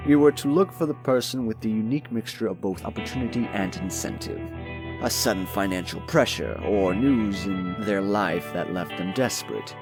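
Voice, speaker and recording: A man's voice: lowish voice, moderate pace, over music, close to an everyday voice. A man playing a jaded, condescending nobleman who speaks in a curt, dry, analytical way in a dramatized fantasy audiobook. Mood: musing, analytical.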